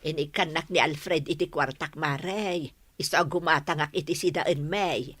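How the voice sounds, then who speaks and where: An actress playing a chatty, scornful village neighbour in an Ilocano radio comedy drama, speaking with an Ilocano accent. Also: female voice, sits low, steady tone, normal speed, fairly bright tone, no room sound. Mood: gossipy, scornful.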